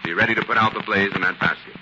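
An actor playing a confident, steady scientist sleuth with an assured manner in a 1940s radio drama. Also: male voice, on the low side, rapid-fire, natural voice. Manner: assured, explanatory. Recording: noisy muffled 1940s radio recording.

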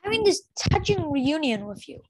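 Woman acting out proud young female dragon queen, high voice, irritable, snappish, emphatic, storybook character. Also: big pitch swings, normal speed.

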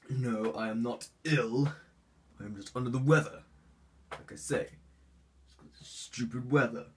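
A man's voice: mid-range voice, a little slow, recorded from a distance, a little hiss or hum. A man voicing a haughty, sick young heir in an ASMR roleplay, formal and hesitant with fillers, stuffy with a cold and sneezing.

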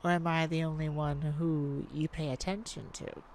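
A woman playing a cocky, flirtatious master thief in an ASMR romance roleplay, with teasing, drawn-out phrasing and dramatic pauses. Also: low-pitched, steady tone, a little mellow, a little hiss or hum.

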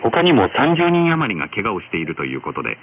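Male radio news announcer, formal scripted read, very lively intonation, thin shortwave sound with static. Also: lowish voice. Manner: formal, measured delivery. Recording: noisy, narrow-band shortwave radio reception. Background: radio static and noise.

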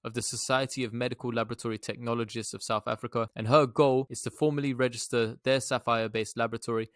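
A man's voice: mid-range voice, level tone, fast, dry recording. A young man giving informal explanatory commentary as a stock analysis presenter, recorded close to a desktop microphone. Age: young adult.

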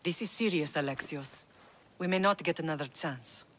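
A woman's voice: sits low, moderate pace. An actress playing a middle-aged Greek mother in a video game, earnest, yearning and solemn. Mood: pleading, solemn. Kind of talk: video game cutscene acting.

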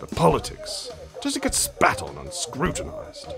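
An actor playing a weary male politician in his fifties who talks gruffly and swears often. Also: a little high, huge pitch swings, unhurried, over music, exaggerated voice. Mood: rueful. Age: 50s. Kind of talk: radio comedy acting.

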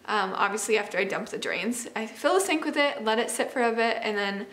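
Woman in her twenties, higher-pitched voice, casual rambling chat, self-deprecating, roomy kitchen echo. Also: expressive, a little fast, fairly bright tone. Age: 20s. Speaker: homemaking vlogger and mother. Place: home kitchen, indoors. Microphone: camera microphone, about arm's length or further. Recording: echoey open kitchen room.

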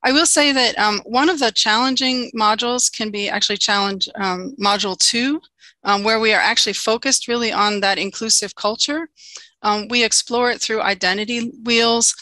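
A woman giving a conference talk over a video call, earnest and steady with frequent ums, now and then asking for the next slide. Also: mid-range voice, dry recording, rough recording. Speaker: conference presenter. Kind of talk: virtual conference presentation.

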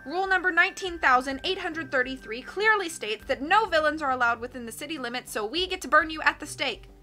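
A woman voicing a bloodthirsty townsman who loudly and triumphantly accuses, in a storybook reading. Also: very high voice, big pitch swings, fairly quick, voice pushed up, exaggerated voice.

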